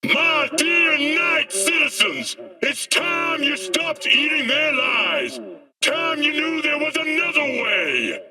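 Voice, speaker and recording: A fiery, paranoid male street agitator from a video game, with a very high, piercingly forceful voice. He harangues like an anti-corporate doomsday prophet, slow and declamatory, leaning hard on his words. The voice sounds set back from the microphone. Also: sing-song, far from the mic, full character voice, poor audio. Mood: rousing, defiant. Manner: declamatory, haranguing, drawn-out emphasis. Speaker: street agitator, conspiracy preacher. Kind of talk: ranting protest speech, video game acting.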